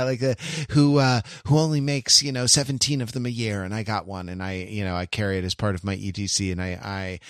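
A man talking casually as a podcast host, halting and rambling, often interrupting himself, with a wry, self-deprecating manner. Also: mid-range voice, fairly bright tone, dry recording, studio quality. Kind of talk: casual chat.

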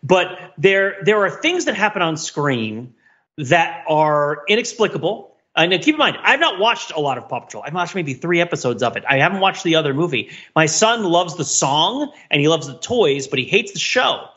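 A man co-hosting a podcast, talking casually and digressively about films in an animated, wryly analytical way. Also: a little high, moderate pace, highs cut off. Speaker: podcast co-host. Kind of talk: casual film discussion, rambling commentary.

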